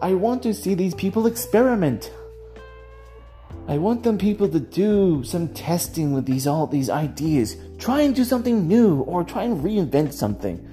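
A host talks alone in a mellow, relaxed tone at a middling pitch. The delivery is casual and rambling, hesitant and circling back over phrases. Music plays faintly behind the voice. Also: moderate pace. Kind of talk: casual rambling solo commentary.

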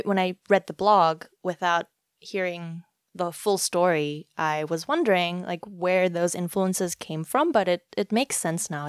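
A woman's voice: on the low side, smooth, no echo, studio quality. A woman from South Africa with a mostly American accent, hosting a casual interview and talking reflectively. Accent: American with slight South African traces. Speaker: podcast host.

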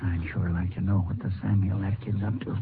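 A man's voice: low-pitched, level tone, fairly quick, over music, lightly acted. A man acting as a plainspoken, folksy small-town Texas sheriff in a 1950s radio crime drama. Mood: hushed, puzzled. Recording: tinny, noisy old radio broadcast recording.

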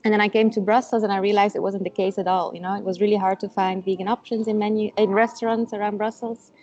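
A woman from Belgium, a native Dutch speaker, talks easily as an interview guest, with frequent fillers and little laughs. Her voice sits at a middle pitch. The sound comes through a dull, lo-fi video call. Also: level tone, fast, a little mellow. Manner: chatty, laughs often, many fillers.

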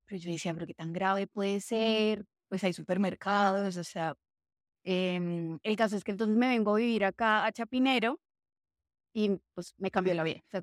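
A woman's voice: mid-range voice, no room sound. Chatty young woman, dry sound. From Colombia. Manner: chatty, full of fillers like "o sea" and "pues". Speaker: guest, café co-founder. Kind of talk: casual conversational interview.

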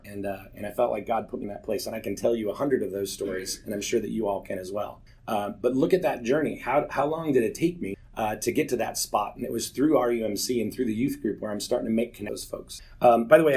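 A man's voice: lowish voice, a little mellow, low-quality recording. A man in his forties giving an earnest, candid personal testimony to a church group, telling stories with self-deprecating humor. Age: 40s.

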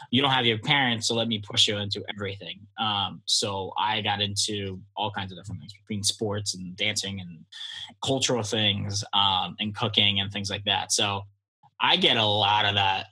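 Polish-born guy, husky and really bright voice, relaxed rambling chat. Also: lowish voice, low-quality recording. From Poland. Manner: relaxed, rambling, with many fillers. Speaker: guest entrepreneur. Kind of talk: casual interview talk.

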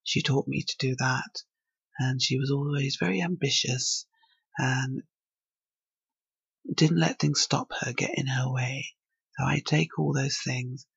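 A woman's voice: very deep voice, fairly even intonation, measured pace, vocal fry, long pauses, slightly rough, no echo, lo-fi sound. A woman from England hosting her own podcast, talking alone in a reflective, thinking-aloud way. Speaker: podcast host. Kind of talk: solo podcast monologue.

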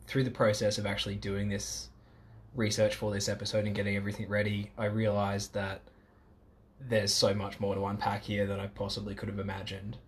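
An Australian man hosting a casual podcast chat, jokey and self-mocking. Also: on the low side. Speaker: podcast host.

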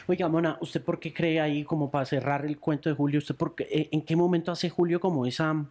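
A man's voice: fairly high pitch, steady tone, brisk, a little mellow. A man hosting a podcast, asking questions in an informal, curious way. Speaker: podcast host. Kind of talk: podcast interview.

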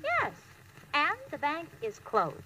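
An actress playing a sensible, exasperated young working woman with crisp theatrical delivery in 1940s radio comedy. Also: sits high, wildly swooping pitch, takes it slowly, exaggerated voice. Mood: alarmed. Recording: faintly noisy old radio recording.

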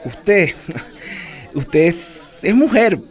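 An actor playing a condescending, sexist guerrilla commander in a radio drama, patronising and hesitant. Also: male voice, high voice, big pitch swings, drawn-out words, breathy edge, full character voice.

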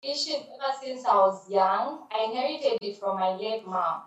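A woman from Zambia speaking as a caller over a video call, giving soft, brief answers. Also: a little high, lively intonation, normal speed, dull audio. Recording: distant echoey video call audio.

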